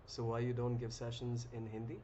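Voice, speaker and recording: A young man hosting a live trading stream, chatting casually with viewers in a relaxed, rambling way with many ums and restarts. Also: medium pitch, fairly quick. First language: English. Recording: muffled lo-fi audio with clear noise.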